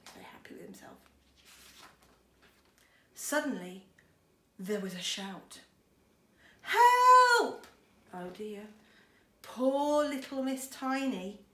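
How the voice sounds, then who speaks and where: A woman's voice: medium pitch, takes it slowly, long pauses. A woman schoolteacher reading a storybook to children expressively, with chatty, encouraging asides. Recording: roomy echo, faint background noise.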